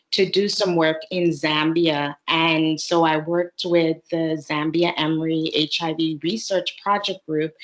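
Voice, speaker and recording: A woman's voice: deep voice, poor audio. A woman in her forties from the Bahamas, a lab manager telling her career story on a video call panel with frequent "um"s. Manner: measured, even delivery with many "um"s. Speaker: laboratory manager, alumni panelist.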